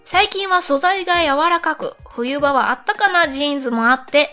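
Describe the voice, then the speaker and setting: A woman's voice: high-pitched, moderate pace, sharp tone, faint music behind. A woman co-hosting a radio show in polite, giggly casual chat, reading out listener letters.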